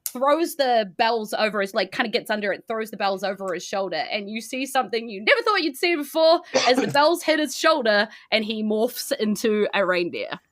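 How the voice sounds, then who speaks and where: A woman's voice: on the high side, lively intonation, normal speed, hardly any pauses, no echo, clean studio sound. A woman with a New Zealand accent, improvising scene descriptions as game master in a loose, conversational way. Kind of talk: improvised tabletop game narration.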